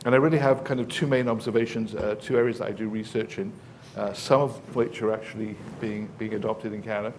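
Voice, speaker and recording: A man's voice: fairly low pitch, fairly even intonation, fast talker, darkish tone, a little hiss or hum. A man with an English accent, speaking as a professor on a conference panel, explaining at length with many fillers and false starts.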